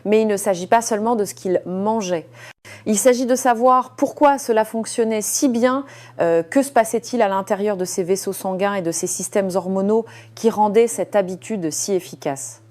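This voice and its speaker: A woman narrating a first-person story as a surgeon, in a measured, confiding way with frequent hesitant fillers. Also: mid-range voice, darkish tone.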